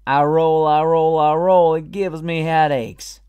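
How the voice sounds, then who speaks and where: A man's voice: a little high, fairly even intonation, measured pace, clean tone, dull audio, lightly acted. A man doing a rowdy talking boulder. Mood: gleeful. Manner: rowdy, playful taunting. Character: gleeful rolling boulder, rough and boastful.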